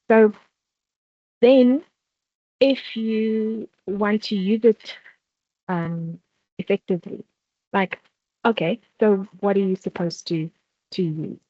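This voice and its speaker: A woman's voice: medium pitch, no room sound. South African woman, workshop presenter; patient explainer who restarts her phrases; dull, dry recording. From South Africa, Free State. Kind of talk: online exam-preparation workshop talk.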